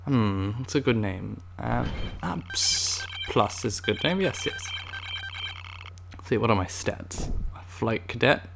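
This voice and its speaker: Male gaming host, put-on British accent, hammy Imperial pilot act, swooping intonation, slightly creaky voice. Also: medium pitch, lively intonation, slow, background noise. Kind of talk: playful in-character game commentary.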